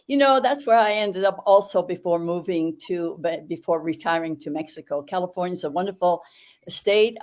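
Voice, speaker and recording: A woman's voice: on the low side, a little mellow. Chatty older woman host on a video call. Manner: chatty, effusive, with fillers and self-corrections. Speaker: interview host. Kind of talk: casual interview chat.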